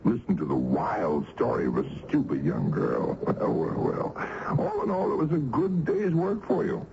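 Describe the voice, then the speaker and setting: An actor playing a sly, mocking supernatural stranger who teases humans in a theatrical old radio drama style. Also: male voice, medium pitch, big pitch swings, unhurried. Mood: sly, smug. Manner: teasing, chuckling, theatrical. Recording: dull vintage radio recording, faint noise.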